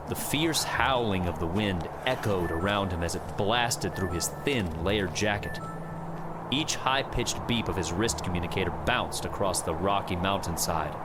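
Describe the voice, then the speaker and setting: A man's voice: deep voice, heavy background noise. A man reading scripted adventure story narration as an audiobook narrator. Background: background music.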